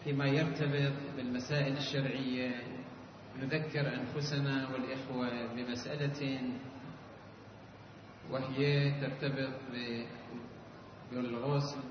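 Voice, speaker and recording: Cleric preaching in an echoey hall. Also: male voice, medium pitch, flat delivery, unhurried, pauses often. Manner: explanatory, poses listener questions and answers them; chanted opening invocation. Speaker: cleric giving religious rulings. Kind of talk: sermon on religious law. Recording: distant echoey hall, dull lo-fi with noise.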